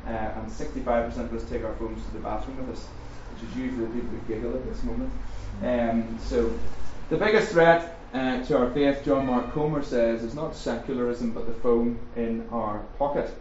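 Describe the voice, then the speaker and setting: A middle-aged man with a Northern Irish accent giving a jokey, fast-paced talk to a live audience, full of ums and questions to the room. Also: mid-range voice, steady tone, a little mellow. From Northern Ireland. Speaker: speaker at a faith and culture seminar. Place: large echoey room with an audience. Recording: roomy, distant, dull sound with faint hiss.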